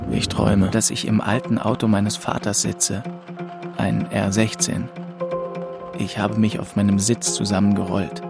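Low, gravelly young man, melancholic. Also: flat delivery, measured pace, music in the background. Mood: subdued narration. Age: young adult. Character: weary, bitter young French man of twenty-two, narrating. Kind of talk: first-person audio drama narration.

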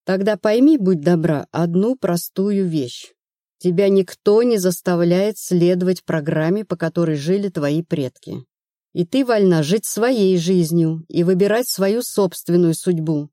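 A woman narrator playing a brisk, rational female investigator in an audiobook, blunt and assured. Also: lowish voice, mellow, dry recording, studio quality, close to an everyday voice. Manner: matter-of-fact, assured.

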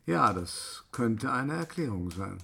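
An actor in a radio play voicing an irascible, authoritative opera director with a volatile manner. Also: male voice, medium pitch, big pitch swings, takes it slowly, gravelly, a bit bright, a little hiss or hum, heavily acted. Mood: wary, polite. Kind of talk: German radio play acting.

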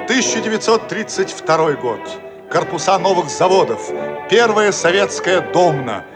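A man with a high-pitched voice narrates a radio play like a solemn announcer. His delivery is declamatory and scripted, with loud music playing behind him. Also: big pitch swings, normal speed.

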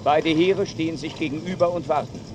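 A man reads dramatic battle narration in the present tense. His voice sits a little high for a man, with clearly noisy ambience behind him. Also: fairly even intonation, brisk.

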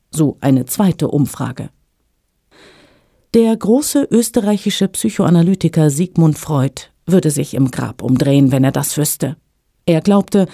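Dark-voiced woman reading a column. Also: sits low, dry recording, clean studio sound. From Russia. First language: Russian. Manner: measured, slow and clear for language learners.

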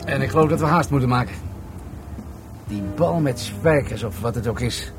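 An actor playing a shrewd, exacting older police commissioner in a Dutch radio play, speaking in a measured, formal, sceptical way. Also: male voice, mid-range voice, expressive, music in the background, close to an everyday voice. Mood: sceptical, intent.

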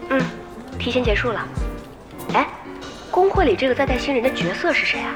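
A woman acting in an audio drama as a calm young gamer, friendly and gently curious. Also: sits high, fairly quick. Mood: curious, amused. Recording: studio drama with music behind.

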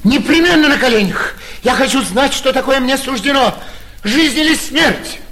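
An actor playing a flighty young gentleman impostor, wooing in florid, pleading stage-comedy style in an old radio play recording. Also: very high voice, expressive, moderate pace, exaggerated voice. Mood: ardent. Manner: ardent, florid, pleading declarations. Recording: vintage 1940s radio theatre recording.

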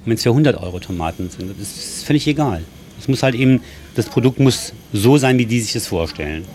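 A man's voice: mid-range voice, lively intonation, a little fast, darkish tone. A man who works as a market trader, talking casually and matter-of-factly in an interview.

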